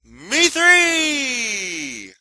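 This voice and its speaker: Male actor as naive, excitable schoolboy for story drama: very sharp, high, swooping voice. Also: extremely slow, dry recording, heavily acted. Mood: eager, curious. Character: eager, naive teenage boy, hopeful and curious. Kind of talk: amateur dramatic reading of fan fiction.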